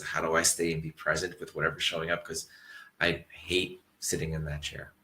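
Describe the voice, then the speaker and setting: A man giving a relaxed, reflective mindfulness talk to an audience, rambling a little and restarting his sentences as he thinks aloud. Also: deep voice. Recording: echoey room.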